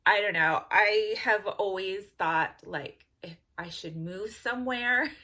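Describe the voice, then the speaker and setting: A woman's voice: medium pitch, sharp tone. A woman from California with a Californian accent, chatting casually to camera in a giggly, rambling way. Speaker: vlogger.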